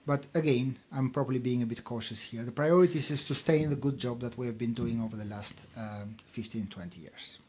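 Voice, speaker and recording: A man whose first language is Albanian speaks at a middle pitch, answering interview questions with care. His delivery is measured and hesitant, with plenty of pauses and fillers as he explains the charity's work. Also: darkish tone, slight background noise. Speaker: charity chief executive.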